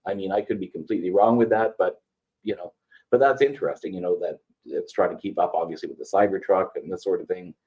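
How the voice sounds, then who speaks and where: A man co-hosting a casual automotive industry podcast, talking in a measured, hedging way with many fillers. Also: deep voice. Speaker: podcast co-host. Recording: dull lo-fi audio.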